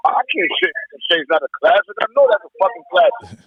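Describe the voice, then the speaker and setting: A man's voice: fairly high pitch, wildly swooping pitch, quick pace, vocal fry, slightly rough, dry recording. A man in casual chat with a blunt, profane way of talking. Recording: dull lo-fi call audio.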